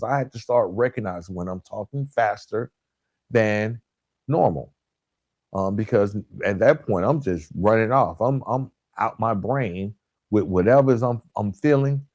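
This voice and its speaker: A man delivering a motivational self-help podcast talk as a coach, with emphatic, deliberate phrasing and frequent check-in tag questions. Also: lowish voice, darkish tone, dry recording. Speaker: podcast host and relationship coach.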